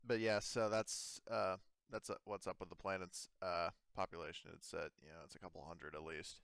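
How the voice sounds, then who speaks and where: Smooth, lowish-voiced man running a game. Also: level tone, fairly quick, fairly bright tone, no echo, clean studio sound. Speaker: game master. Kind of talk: casual tabletop game chat.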